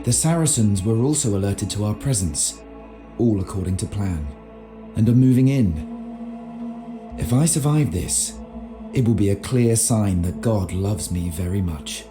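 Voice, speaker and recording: A man's voice: lowish voice, expressive, measured pace, music in the background. An actor playing a solemn medieval knight who narrates his campaign journal in a video game. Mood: grave. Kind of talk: dramatic scripted game narration.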